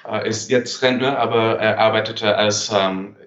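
A man's voice: fairly low pitch, steady tone, measured pace, fairly bright tone. A man from Canada in his thirties, a native English speaker with a Canadian accent, answering interview questions hesitantly while searching for words. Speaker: guest entrepreneur. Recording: dull lo-fi sound.

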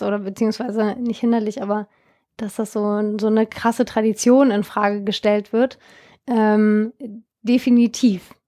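Woman, 20s, from Germany, casual interview, chatty with fillers, slightly high voice. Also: dark tone. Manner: chatty, many fillers and restarts.

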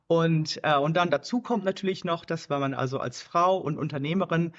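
A woman's voice: sits low, a little fast. A woman in her fifties from Germany whose German carries an American English accent, speaking as a podcast guest over a video call, searching for words with many fillers and restarts and slipping into English. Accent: German with American English influence. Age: 50s. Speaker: guest, startup founder. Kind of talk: casual podcast interview. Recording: muffled video-call audio.

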